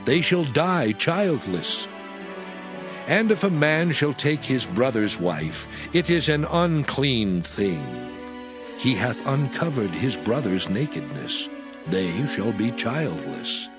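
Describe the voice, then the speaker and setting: A man narrating a dramatized scripture reading in a solemn, measured way. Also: medium pitch, sing-song. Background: music.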